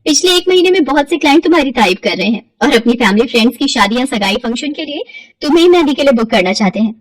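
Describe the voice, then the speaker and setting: A woman's voice: high-pitched, animated, rapid-fire, no echo, recorded from a distance, low-quality recording. A woman voicing an appreciative, businesslike parlour owner in a cartoon story. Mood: approving.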